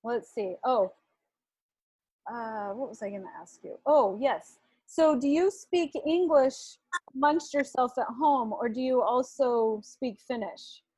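A woman interviewer, herself a homeschooling mother, speaks softly with many fillers. Her voice is somewhat high and breathy. The recording is dry and close. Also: slow pace, dry recording, poor audio. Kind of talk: casual conversation.

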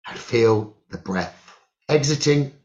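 A man leading a guided meditation, giving gentle instructions in short phrases with long pauses, on a muffled recording. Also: mid-range voice, animated. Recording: muffled, dull lo-fi audio.

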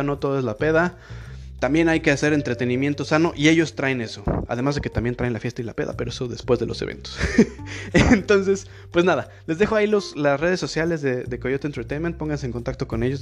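A young adult man from Mexico City with a Mexico City accent, hosting a casual interview podcast and talking in a chatty way with many fillers and restarts. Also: on the high side. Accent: Mexico City Spanish. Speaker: podcast host.

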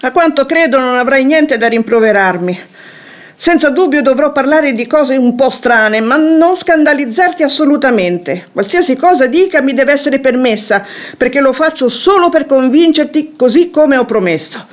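Eloquent, scheming wife in classic stage comedy. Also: female voice, high-pitched, animated, normal speed. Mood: firm, scheming. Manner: formal, eloquent, theatrical phrasing. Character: shrewd, composed wife feigning seductive affection to trap a hypocrite. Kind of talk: theatrical radio drama acting of classic comedy.

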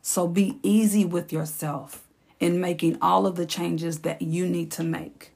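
A woman hosting her own podcast, speaking in a slow, encouraging, reflective way, with a raspy voice and features. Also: lowish voice, flat delivery, darkish tone. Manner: slow, encouraging, repeats phrases for emphasis. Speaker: podcast host. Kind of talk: reflective solo podcast talk.

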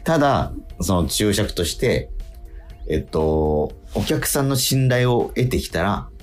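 A man hosting a casual radio talk, posing quiz questions, haltingly repeating himself and slowing down to stress key phrases. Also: on the low side, lively intonation, faint music behind.

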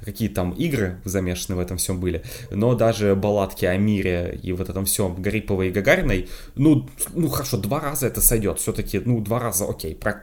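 Casting: young Moscow man, podcast co-host, low voice, rapid lively chat. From Moscow, Russia. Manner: quick, lively, laughs often, restarts. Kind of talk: casual chat.

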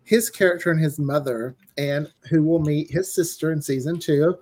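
A man's voice: fairly high pitch, moderate pace, darkish tone. A man chatting casually as a podcast guest and writer, telling stories and acting out quoted lines as he goes. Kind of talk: casual interview chat.

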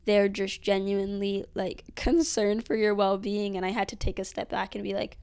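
An eighteen-year-old woman chats earnestly to camera in a casual vlog. Her voice is smooth and clear at a middle pitch, and she fills her sentences with ums and likes as she thinks aloud. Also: little pitch movement, moderate pace, darkish tone. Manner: chatty, filler-heavy, earnest. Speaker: vlogger. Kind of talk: casual reflective monologue.

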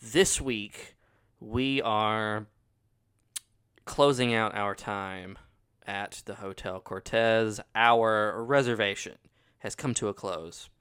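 A man's voice: fairly low pitch, steady tone, slow pace, a bit bright, clear, resonant tone. A man co-hosting a podcast in casual, teasing chat, laughing often, with a runny nose. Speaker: podcast co-host.

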